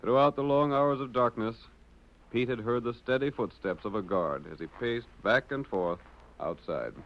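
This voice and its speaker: A man's voice: medium pitch, normal speed. A man with an American accent narrating a radio drama in the formal, articulate style of a 1940s announcer. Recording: dull lo-fi old radio recording.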